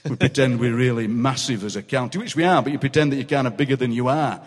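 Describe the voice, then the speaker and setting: An older man with a Yorkshire accent, a guest poet telling anecdotes on a stage with quick comic impressions and frequent laughter. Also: mid-range voice, steady tone. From South Yorkshire, England. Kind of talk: live stage chat, storytelling. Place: large city hall on stage. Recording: long reverb of a large hall.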